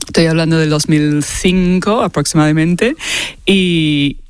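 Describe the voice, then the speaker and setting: A man in his late thirties from Australia, a native English speaker with an Australian accent, answering as an expert guest in an interview and often pausing to search for words. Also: on the high side, clear, resonant tone, dry recording. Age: late 30s. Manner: hesitant, searching for words, with repeated words.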